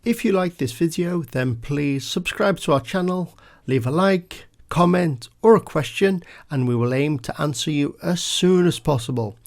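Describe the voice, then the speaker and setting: A man with a gravelly, husky voice reading scripted announcements as a formal, instructional exam presenter. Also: fairly high pitch, normal speed. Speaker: exam examiner and channel presenter.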